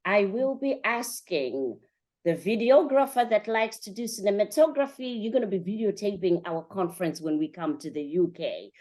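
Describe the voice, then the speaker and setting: A woman's voice: mid-range voice, expressive, moderate pace, darkish tone. A woman hosting a motivational interview, speaking in an emphatic, encouraging way and piling up repeated phrases. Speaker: podcast host and coach.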